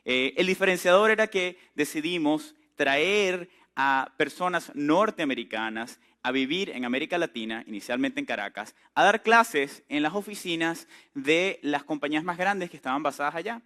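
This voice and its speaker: A man. His voice sits somewhat high, with a bright, forceful edge. He tells his story in a relaxed, chatty way and throws questions out to the room. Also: normal speed, few pauses. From Venezuela. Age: 30s. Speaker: entrepreneur speaker. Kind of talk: conference talk to a live audience.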